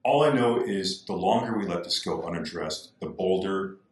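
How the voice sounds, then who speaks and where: A man's voice: low voice, animated, moderate pace, roomy sound. A man talking as a political candidate on a podcast, earnest and repeating his points for emphasis. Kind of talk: podcast discussion.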